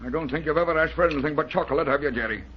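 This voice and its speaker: An actor playing a kindly grown-up soda shop keeper in 1940s radio style, folksy and hesitant, repeating himself. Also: male voice, a little high, racing, close to an everyday voice. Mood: genial, teasing. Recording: noisy, dull old radio recording.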